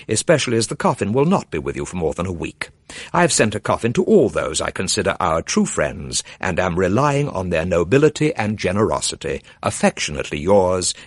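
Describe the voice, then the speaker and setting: A man reads as an anxious gentleman writing a letter in a classic tale. The delivery is formal and pleading, expressive, husky and dry. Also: low-pitched, no echo, heavily acted. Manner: formal, imploring. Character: earnest, pleading male letter writer, anxious about honour.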